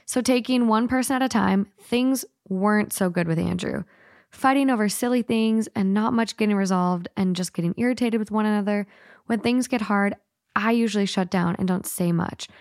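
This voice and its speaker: A woman's voice: mid-range voice, darkish tone, no room sound, clean studio sound. A woman co-hosting a casual podcast and reading out listener letters in an upbeat, matter-of-fact way. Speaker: podcast co-host.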